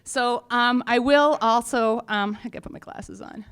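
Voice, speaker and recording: A woman's voice: a little high, moderate pace, fairly bright tone, clean tone. A woman company founder giving an informal update on stage, speaking in a frank, humorous, chatty way. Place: on stage at a live event.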